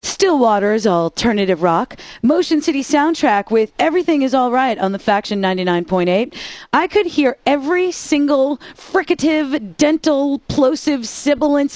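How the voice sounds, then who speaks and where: A woman voices a snarky rock station DJ, quick with a put-down. The voice sits a little high, close-miked and bone dry in a game studio. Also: lively intonation, darkish tone, dry recording, close to an everyday voice. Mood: sassy. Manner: teasing, dry and sarcastic. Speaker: radio DJ. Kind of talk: scripted game radio DJ banter.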